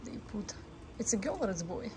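A woman's voice: mid-range voice, fast talker. A woman filming in her home kitchen, chatting and exclaiming as she handles a roast turkey. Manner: excited, laughing, exclaiming often. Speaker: vlogger. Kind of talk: casual kitchen chat while filming. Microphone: phone camera held by the speaker. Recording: noisy phone recording.

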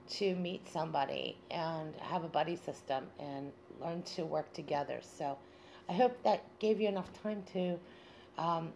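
A woman presents an informal radio monologue in a notably low voice with plenty of fry. She speaks haltingly and tends to ramble. There is faint noise and ambience behind her. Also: dark tone. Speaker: radio show host.

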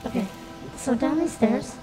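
Bumbling robot guide, female, played by a woman: high, nervous, stammering chatter, echoey amateur mic. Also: moderate pace, over music, natural voice. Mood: chatty, gossipy. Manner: stammering, rambling, flustered. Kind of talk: amateur fan dub of a game scene.

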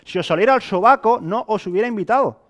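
A man in his late twenties with an accent from Spain, giving a colloquial, animated sales presentation to a live audience. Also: sits high, quick pace. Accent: Spain Spanish. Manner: animated, colloquial, rhetorical questions and acted-out examples. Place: hotel meeting room with a small audience, indoors.

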